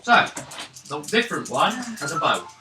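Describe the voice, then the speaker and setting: A man's voice: a little high, very animated, normal speed, music in the background. A man acting as game master, casually explaining turns and rulings at a tabletop game. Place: indoors at a gaming table. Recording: echoey room, distant mic, clear noise.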